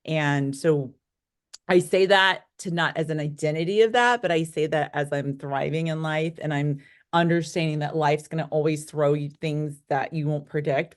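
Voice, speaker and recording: A woman's voice: deep voice, steady tone, moderate pace. A woman in her late forties with an American accent, telling her own story candidly and talkatively as an interview guest. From United States, born in Korea. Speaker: interview guest, real estate broker. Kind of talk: conversational interview answers, personal storytelling.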